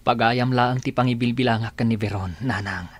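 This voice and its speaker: A man acting a soft-hearted, lovestruck young son in a radio drama, earnest and deferential. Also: mid-range voice, steady tone, normal speed, close to an everyday voice. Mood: pleading. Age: young adult.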